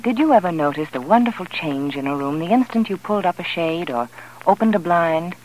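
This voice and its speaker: A woman's voice: fairly low pitch, expressive, brisk, darkish tone, slight background noise, poor audio. A woman delivering a 1940s radio commercial with emphatic, persuasive, carefully articulated speech. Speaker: advertising spokeswoman.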